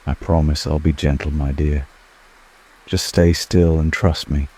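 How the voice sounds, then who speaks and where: Guy doing an ancient vampire for asmr, super low dark voice, slow n intimate, formal, seductive, kinda lonely. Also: fairly even intonation. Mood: coaxing, predatory. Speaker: ASMR roleplay performer. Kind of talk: scripted ASMR roleplay.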